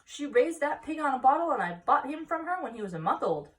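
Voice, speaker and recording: A woman's voice: high voice, big pitch swings, fast talker, recorded from a distance, pitched above the performer's own voice. A woman voicing an awestruck, self-important farmer husband who speaks with solemn, emphatic wonder in a storybook reading. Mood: excited.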